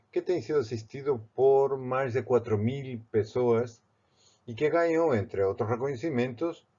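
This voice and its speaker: A man from Barcelona speaking with a Spanish accent, teaching a painting technique in a halting way, with many restarts. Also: mid-range voice, darkish tone, muffled recording. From Spain, Barcelona. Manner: halting, with frequent restarts and fillers. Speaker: art teacher. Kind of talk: step-by-step art tutorial.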